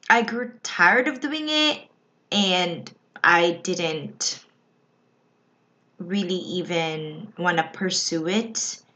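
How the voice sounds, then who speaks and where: A woman from the Philippines vlogging in a casual, reflective way, often pausing and restarting her thoughts. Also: lowish voice, a bit bright. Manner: slow, reflective, with frequent restarts. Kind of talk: personal casual vlog talk. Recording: slightly dull lo-fi recording.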